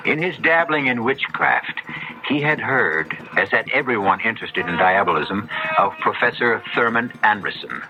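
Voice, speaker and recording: An actor narrating a 1970s radio horror drama as an ominous storyteller, solemn, dramatic and measured. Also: male voice, on the low side, expressive. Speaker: narrator and host. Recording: dull 1970s radio broadcast. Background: prominent dramatic music.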